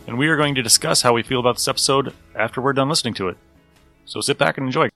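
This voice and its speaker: A male podcast host with a bright, forward voice at middling pitch. He talks casually and in a folksy way over faint music. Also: very fast. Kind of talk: casual scripted introduction and plugs.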